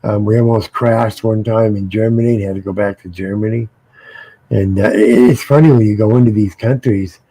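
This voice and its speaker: A man's voice: fairly low pitch, fairly even intonation, normal speed, dark tone, breathy edge, poor audio. A middle-aged American man, co-hosting a casual panel chat, rambling and repeating his words. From United States. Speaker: co-host.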